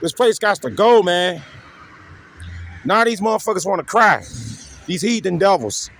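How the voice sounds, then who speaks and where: Profane ranting street preacher, raised pitch. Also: male voice, expressive, normal speed, creaky, fairly bright tone, a little hiss or hum. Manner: repetitive and taunting, keeps asking "you see?".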